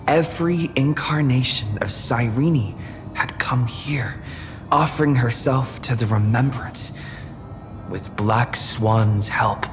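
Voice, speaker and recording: Guy playing calm young traveler doing a solemn game recap, slow delivery, music behind it. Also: medium pitch, long reverb tail, close to an everyday voice. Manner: measured, solemn, deliberate storytelling. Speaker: story narrator and protagonist. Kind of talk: scripted narration, story recap.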